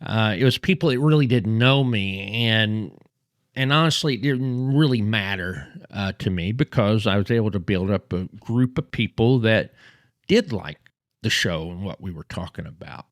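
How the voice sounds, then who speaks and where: A man in his fifties with a Texas accent, host of a history channel, telling a personal story in a halting way with many fillers and restarts. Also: lowish voice, lively intonation, dry recording, studio quality. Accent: Texan.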